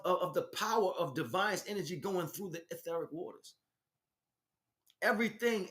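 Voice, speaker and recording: A man's voice: high voice, fairly bright tone. A man giving an impromptu spiritual talk as a teacher, speaking emphatically in short, repetitive bursts. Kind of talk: impromptu spiritual monologue, preaching.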